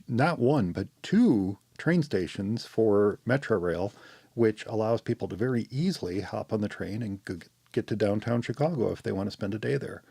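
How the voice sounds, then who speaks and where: A man hosting a casual podcast, his voice low and gravelly. He rambles along in a relaxed, explaining way. The sound is dry and close, with no room around it. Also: no room sound. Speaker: podcast host.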